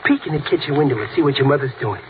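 A man's voice: medium pitch, lively intonation, rapid-fire, natural voice. An actor playing a boisterous, childish working-class father with an American accent in a 1940s radio comedy. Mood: gleeful scheming. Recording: tinny, very noisy vintage radio recording.

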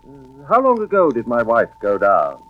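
An actor playing a troubled, anxious insurance investigator with a hesitant, brooding delivery in a 1940s radio drama. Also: male voice, medium pitch, wildly swooping pitch, normal speed, slight background noise. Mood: nervous, covering up. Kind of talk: 1940s radio drama acting, first-person narration. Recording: dull vintage radio recording with music behind.

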